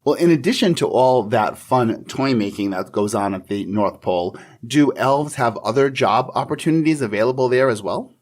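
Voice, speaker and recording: A man's voice: medium pitch, lively intonation, moderate pace, few pauses. A man co-hosting a podcast, interviewing and reading in a friendly, prepared style.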